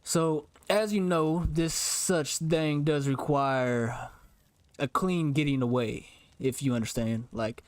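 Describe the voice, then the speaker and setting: A man's voice: on the high side, takes it slowly, dry recording. Man playing polite, eager outlaw, close dry sound. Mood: deferential. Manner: deferential, folksy, repeats himself. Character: polite, eager Old West outlaw for hire. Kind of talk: improvised online Western roleplay.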